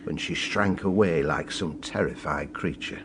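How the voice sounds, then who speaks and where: Deep-voiced, grave old priest. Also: male voice, background noise. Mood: grave, compassionate. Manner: solemn, measured, formal. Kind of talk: radio drama acting.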